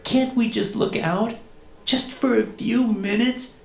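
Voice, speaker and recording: A man's voice: very high voice, fairly even intonation, slow, creaky, reverberant, soft background music, voice pushed up, exaggerated voice. A man voicing a pleading teenage girl. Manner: begging, insistent.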